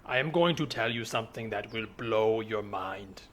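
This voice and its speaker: A man's voice: lowish voice, moderate pace, fairly bright tone, natural voice. A man voicing a self-important female robot assassin from the future in improvised comedy, stilted, grandiose and easily exasperated. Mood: grandiose, flustered. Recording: noisy track with ambient soundscape.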